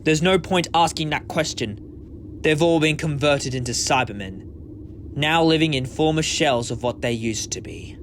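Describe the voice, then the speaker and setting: Man playing an earnest, higher-voiced sci-fi hero. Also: moderate pace, a little hiss or hum, natural voice. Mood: grim. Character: earnest, kindly but urgent alien traveller hero. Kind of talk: fan audio drama acting.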